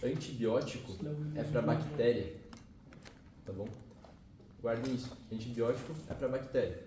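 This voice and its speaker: A man's voice: mid-range voice, a little slow, far from the mic, a crowd in the background. A male biology teacher, giving a casual, slangy classroom lesson with occasional swearing and frequent check-ins with the students. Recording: echoey classroom picked up from afar, noisy.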